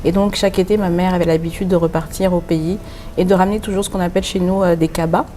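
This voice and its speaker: A woman's voice: on the low side, steady tone, fast, dark tone, slight background noise. A woman from France with a French accent, a designer and boutique founder answering interview questions earnestly, with many hesitations as she explains at length. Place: pop-up boutique.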